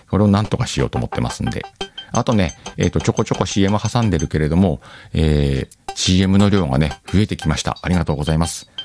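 Very deep-voiced man chatting over music. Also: darkish tone. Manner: rambling, self-deprecating, laughs at himself. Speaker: host of an audio show. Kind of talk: casual solo chat. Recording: music behind the voice. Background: background music.

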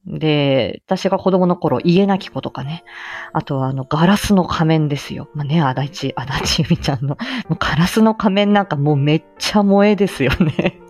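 A woman with a mature, low-set voice hosting a casual solo live stream, rambling in hesitant, self-effacing phrases with frequent small chuckles. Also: normal speed, darkish tone. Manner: chuckles often between phrases. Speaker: live stream host and amateur voice actor. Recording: dull lo-fi audio, faint music behind.

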